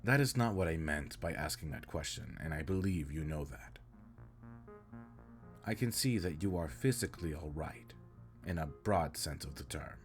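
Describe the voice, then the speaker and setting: A man's voice: a bass voice, lively intonation, normal speed, soft background music. A man playing an earnest, caring alien spaceship captain in an audio roleplay, speaking in stiff, formal, precise sentences. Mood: tender concern. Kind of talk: scripted roleplay audio drama, addressing the listener.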